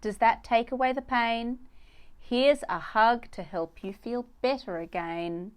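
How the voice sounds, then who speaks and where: Woman as a gentle, unhurried mother mouse. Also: on the high side, clean tone. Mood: soothing. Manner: gentle, soothing. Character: gentle, loving mother mouse, reassuring and patient. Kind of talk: children's storybook read-aloud.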